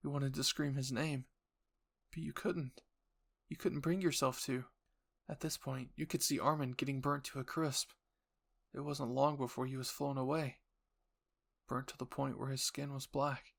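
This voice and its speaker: A man's voice: medium pitch, steady tone, normal speed, creaky, long pauses. A man narrating an audio roleplay story in the second person with an earnest, theatrical delivery.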